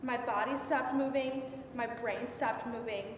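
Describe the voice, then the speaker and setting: A young woman playing an anguished biblical concubine in a dramatic stage monologue, with halting, repetitive, intense delivery. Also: on the high side, fairly even intonation, lightly acted. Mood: desperate, anguished. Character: anguished young unnamed biblical concubine recounting her ordeal. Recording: echoey hall from far off, faint noise.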